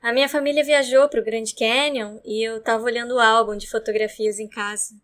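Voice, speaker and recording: A woman's voice: fairly high pitch, steady tone, brisk, a bit bright. A Brazilian woman acting out a scripted first-person recollection. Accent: Brazilian Portuguese.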